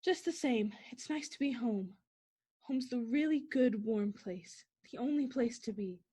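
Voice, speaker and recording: A woman's voice: a little high, a little slow, dark tone, slightly rough. A woman playing a terrified young woman fleeing home alone at night, talking to herself in breathless, repeated bursts in the style of a 1940s radio play. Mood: relieved. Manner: breathless, frantic self-talk in short repeated bursts.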